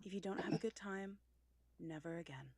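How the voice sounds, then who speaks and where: A woman's voice: lowish voice, a little fast, darkish tone, close to an everyday voice. A woman voicing a teasing, coaxing demonic female friend in a visual novel. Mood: teasing reassurance. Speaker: game voice actor.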